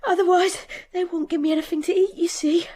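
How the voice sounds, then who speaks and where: A woman's voice: extremely high pitch, fairly even intonation, a little fast, warm tone. An actress playing a destitute, anguished young woman, tearful and pleading, in a radio drama. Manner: pleading, tearful, repeating herself.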